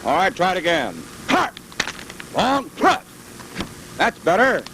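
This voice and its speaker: A man giving brisk, commanding military drill instruction with barked orders, on a vintage training film soundtrack. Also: high voice, wildly swooping pitch, creaky. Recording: noisy vintage 1930s-40s training film.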